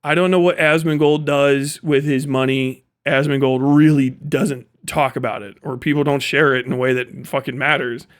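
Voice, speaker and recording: A man's voice: mid-range voice, flat delivery, normal speed, hardly any pauses. A man giving off-the-cuff livestream commentary in a blunt, profane, sardonic way. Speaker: live streamer.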